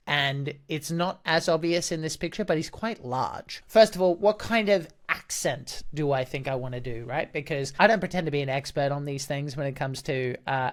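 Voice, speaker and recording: Male voice actor, mid pitch, analytical, talking through his character choices. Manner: thinking aloud, analytical. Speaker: voice actor and dungeon master. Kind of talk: explanatory commentary on a voice acting audition.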